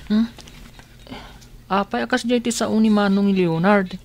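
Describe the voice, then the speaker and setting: A woman's voice: medium pitch, steady tone, unhurried, darkish tone, a little hiss or hum, close to an everyday voice. A woman playing an earnest, doubtful blind young boy in a radio drama, speaking plaintively. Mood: troubled, doubtful.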